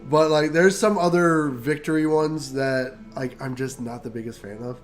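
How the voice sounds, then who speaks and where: A man's voice: mid-range voice, moderate pace, soft background music. A man co-hosting a casual gaming chat, nasal from a cold, joking and rambling. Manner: laid-back, joking, rambling. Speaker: podcast co-host.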